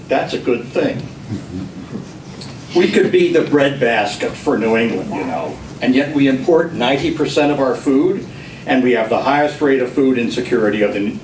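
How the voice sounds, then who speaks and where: Older man, formal deliberate delivery, public testimony, echoey room, distant microphone. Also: medium pitch. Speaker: member of the public testifying at a hearing. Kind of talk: prepared speech read aloud. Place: government committee hearing room. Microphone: camera across the room. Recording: echoey room, distant mic, faint noise.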